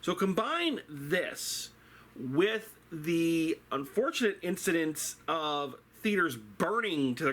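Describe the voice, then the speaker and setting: Male theatre teacher, slightly higher-pitched voice, unhurried and theatrical, delivering a dramatic lecture like a storyteller. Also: pushed and bright. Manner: theatrical, deliberate, rhetorical questions.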